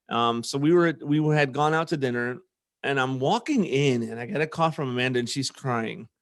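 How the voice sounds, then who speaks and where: A man's voice: medium pitch, a bit bright. A man with an American accent hosting a podcast, telling personal stories in a casual, earnest way. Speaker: podcast host; former army platoon leader.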